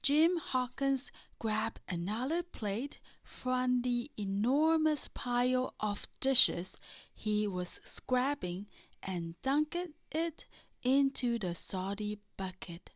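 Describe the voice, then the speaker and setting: A woman reading a children's bedtime story aloud in a deliberate way, with dramatic emphasis. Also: a little high, expressive, a bit bright, dry recording, clean studio sound. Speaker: storybook reader.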